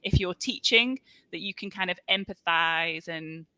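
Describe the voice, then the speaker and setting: A woman's voice: on the low side, measured pace, pushed and bright, poor audio. A woman from Liverpool tutoring English over a video call, chatty and encouraging. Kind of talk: casual online tutoring chat.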